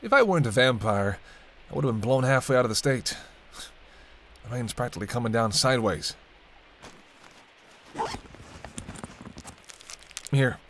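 A man's voice: mid-range voice, expressive, fairly quick, a bit bright, slight background noise, natural voice. A man playing a sardonic, teasing vampire in an audio roleplay, talking casually and wryly to the listener as a reluctant companion. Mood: urgent, brisk. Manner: wry, bantering, casual, muttered asides. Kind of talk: intimate audio roleplay acting addressed to the listener.

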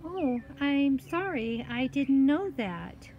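A woman's voice: sits high, lively intonation, takes it slowly, lo-fi sound, voice pushed up, full character voice. A woman voicing a timid, polite little girl in a children's story, hesitant and earnest. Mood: apologetic, timid. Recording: outdoor ambient noise, faint.

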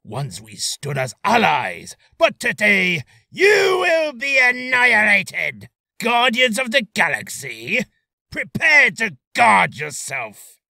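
A man voicing an imperious alien warrior villain who shouts proclamations for a voice reel. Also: high-pitched, huge pitch swings, takes it slowly, gravelly, dry recording, studio quality, full character voice. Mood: imperious, furious. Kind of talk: character voice reel.

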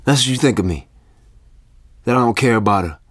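An actor playing a troubled, protective husband and expectant father in a naturalistic audio drama, speaking in measured, short phrases. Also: medium pitch, expressive, husky, no room sound, clean studio sound. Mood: indignant.

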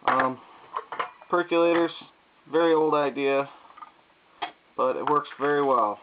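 Casting: man, plain unhurried talker with many filler pauses, slightly higher voice, thin tinny camera sound with faint hiss. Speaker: YouTube vlogger. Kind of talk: casual gear demonstration. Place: indoors at a wooden table.

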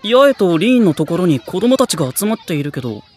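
A man's voice: high voice, big pitch swings, quick pace, slightly rough, full character voice. An actor playing an easygoing, polite teenage boy in a Japanese anime audio drama, casual and mild in manner. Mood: mild, curious.

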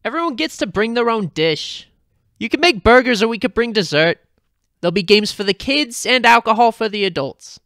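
A performer voicing an excitable, pushy cartoon wife and mother with exaggerated, drawn-out wheedling, in a fan fiction reading. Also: medium pitch, dry recording, studio quality. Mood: insistent.

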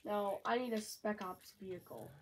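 A woman's voice: mid-range voice, a little hiss or hum. A woman with a sore throat, casually commenting on her game from a bedroom and rambling to herself. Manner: slow, rambling, talks to self. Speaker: gamer.

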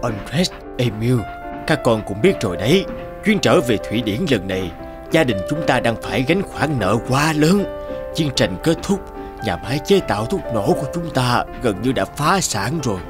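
An actor voicing a weary old father burdened by debt in an animated drama, grave and measured. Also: mid-range voice, music in the background, close to an everyday voice. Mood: grave, worried.

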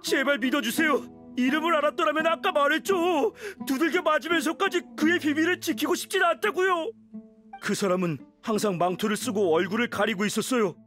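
A man's voice: extremely high pitch, brisk, fairly bright tone, faint music behind. An actor playing a frightened, cowed man in a video game, stammering and pleading. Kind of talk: game character dialogue.

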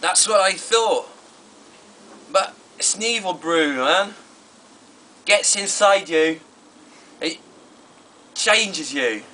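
Halting, broken drinker, slightly high-voiced played by a man. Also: lively intonation, pushed and bright, recorded from a distance, slight background noise, full character voice, poor audio. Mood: haunted. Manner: halting, rueful, trailing off. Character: broken, wretched man ruined by cider drinking. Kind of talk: mock documentary confessional interview.

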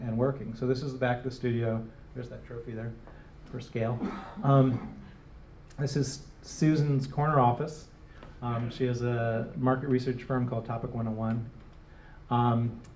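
A man's voice: medium pitch, a little mellow. A middle-aged man from Detroit, answering interview questions onstage in a casual, rambling way with many fillers and restarts. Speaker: interviewed designer. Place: small gallery room, live audience. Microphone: handheld microphone. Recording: roomy, distant, faint hiss.

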